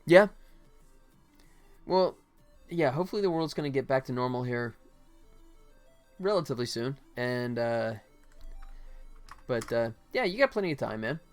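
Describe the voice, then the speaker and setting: American male livestreamer, mid-pitched, informal and rambling, talking through his work over background music. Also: lively intonation, moderate pace, long pauses. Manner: rambling, thinking aloud. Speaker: livestream host, game developer. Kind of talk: casual livestream chat while working.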